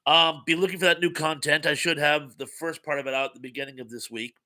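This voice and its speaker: A man's voice: a little high, fairly even intonation, fast talker, sharp tone. A man hosting a casual livestream, reading viewer comments aloud and rambling with wry asides, his voice getting worn out. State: losing his voice. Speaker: livestream host reading chat.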